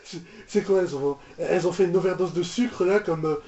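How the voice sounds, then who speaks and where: A man's voice: high voice, brisk, music in the background. A man giving casual, off-the-cuff reaction commentary, hesitant, repeating words and trailing off. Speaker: reaction video host. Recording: echoey room, muffled lo-fi microphone.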